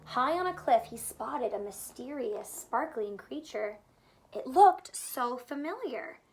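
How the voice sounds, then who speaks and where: A woman with a high voice reads a storybook aloud to young children. Her delivery is expressive, with little explanatory asides about the pictures. Faint noise sits behind her voice. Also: takes it slowly, rough recording. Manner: expressive, pointing out picture details to young listeners. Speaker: teacher reading a picture book aloud.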